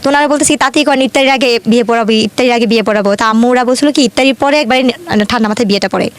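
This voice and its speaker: Fast-talking, higher-voiced Bangladeshi woman. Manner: detailed retelling with frequent quoted dialogue. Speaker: guest telling own life story. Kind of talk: conversational personal storytelling.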